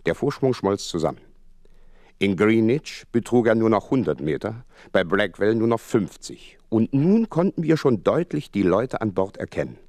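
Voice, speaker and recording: An actor playing an earnest gentleman doctor who narrates a detective radio play in measured, literary phrases. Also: fairly low pitch, big pitch swings, no echo, clean studio sound. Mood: tense, vivid.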